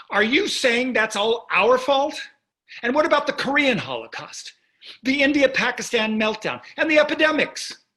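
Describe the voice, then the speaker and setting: Casting: actor as cynical, self-satisfied wealthy husband in a stage drama, brushing things off with dismissive sarcasm. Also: male voice, very high-pitched, bright, forceful tone, exaggerated voice. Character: wealthy, cynical, callous, golf-loving husband. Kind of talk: staged play reading, marital argument.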